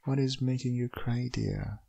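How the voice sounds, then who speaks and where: A man playing a caring, sleepy young father and ex-boyfriend in an ASMR audio roleplay, gentle and hesitant, with a low husky voice. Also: fairly even intonation, a little slow. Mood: soothing baby talk.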